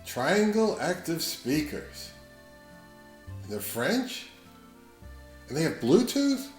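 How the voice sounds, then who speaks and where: A man's voice: on the high side, very animated, takes it slowly, faint music behind. Middle-aged man, casual musing reviewer. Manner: casual, musing, rhetorical questions. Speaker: hi-fi review channel host. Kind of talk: conversational product review narration.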